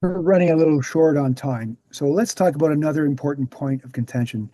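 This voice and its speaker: A man playing an earnest, weary veteran researcher explaining things in a measured way at a screenplay table read. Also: a little high, mellow, dry recording, natural voice. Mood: explanatory.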